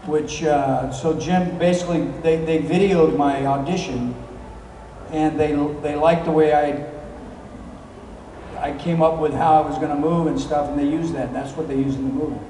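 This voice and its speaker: A middle-aged man answering audience questions on a convention stage, telling stories in a relaxed, rambling way with many fillers and a handheld mic. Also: on the high side, normal speed, slight background noise. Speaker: convention guest actor. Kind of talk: live stage Q&A. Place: large convention hall, stage. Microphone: handheld mic, filmed from far in the crowd. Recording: echoey hall from far off.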